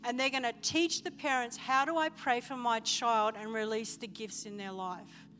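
A woman's voice: a little high, fairly even intonation, normal speed, pushed and bright, a little hiss or hum. A woman pastor giving enthusiastic church announcements from the pulpit, emphatic and calling out to the congregation. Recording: reverberant church hall with music behind.

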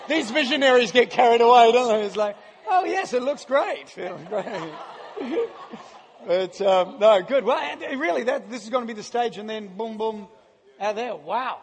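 A middle-aged man with a croaky voice, speaking as a guest preacher in a chatty, self-deprecating way with frequent laughs. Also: very high voice, lively intonation, a little slow, a little hiss or hum. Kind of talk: casual, joking church talk. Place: large church hall. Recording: live church hall with long reverb.

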